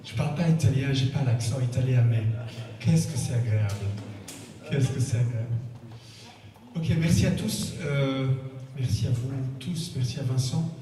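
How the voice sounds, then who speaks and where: A man hosting a conference, talking informally and filling pauses. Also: medium pitch, mellow. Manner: informal, chatty, with fillers. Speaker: event host. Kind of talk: wrapping up a conference. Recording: echoey hall, far from mic.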